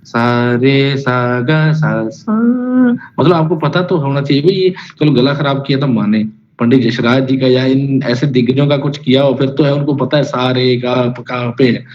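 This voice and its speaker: A man's voice: medium pitch, normal speed, darkish tone. Casual male music teacher on a lo-fi call. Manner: casual, rambling, talks directly to students and sings note names. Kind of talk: online singing class lecture. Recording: lo-fi online class audio with faint noise.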